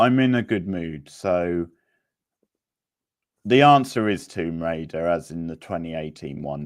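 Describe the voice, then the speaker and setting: Deep, gravelly man hosting a casual quiz. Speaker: quiz host. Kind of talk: live-streamed quiz hosting, casual chat.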